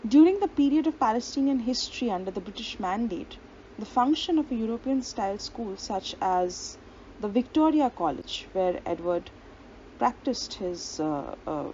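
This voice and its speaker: A woman's voice: mid-range voice, expressive, moderate pace, mellow. Woman lecturing over dull lo-fi audio. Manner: reads long quotations aloud, occasional fillers and restarts. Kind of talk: explanatory lecture. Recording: dull lo-fi audio with faint hiss.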